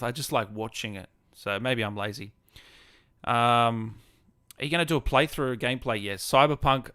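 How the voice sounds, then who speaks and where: A man's voice: fairly low pitch, fairly bright tone, clear, resonant tone, no echo, clean studio sound. A man with an Australian accent chatting casually on a gaming livestream, informally addressing viewers like mates. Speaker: gaming streamer and host. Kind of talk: casual livestream chat, answering viewers' comments.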